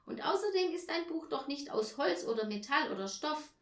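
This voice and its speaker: A woman voicing an excitable, secretive little girl, eager and exclaiming, in a children's story. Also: high voice, normal speed, pitched above the performer's own voice. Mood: earnest, impatient. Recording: roomy echo.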